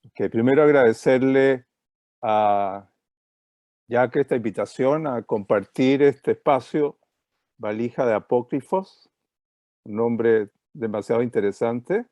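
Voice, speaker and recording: Slow, mellow-voiced Chilean man lecturing. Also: mid-range voice, dry recording. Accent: Chilean Spanish. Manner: hesitant, many fillers. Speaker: guest speaker. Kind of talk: online lecture with slides. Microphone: video call.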